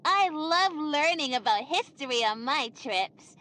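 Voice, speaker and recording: A woman's voice: high-pitched, expressive, pushed and bright, exaggerated voice. A woman voicing a young cartoon girl who hosts a children's show in a video game, talking to viewers in a singsong way. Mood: instructive, bright. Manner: singsong, slow counting, addressing young viewers.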